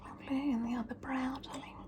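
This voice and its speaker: A woman's voice: high-pitched, little pitch movement, very noisy, close to an everyday voice. A woman whispering as a fussy, bossy mum. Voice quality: soft whisper. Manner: doting yet scolding, lingering and drawn-out. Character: fussy pampering mother, bossy, calls everyone darling. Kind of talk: ASMR roleplay.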